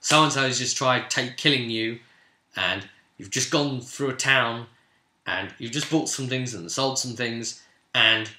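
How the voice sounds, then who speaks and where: A British man casually recounting a tabletop game as its game master, in an animated storytelling way. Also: medium pitch, a little slow, slight background noise. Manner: animated, acts out players' remarks in quotes. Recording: roomy, echoing room sound.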